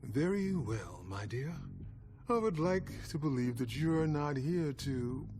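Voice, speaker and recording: Slow, courtly, menacing vampire lord. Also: male voice, a little high, lively intonation, a little mellow, faint music behind. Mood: wary, measured. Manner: courtly, measured, old-fashioned politeness. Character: suave, formal, menacing male vampire clan leader. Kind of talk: dramatic video game acting.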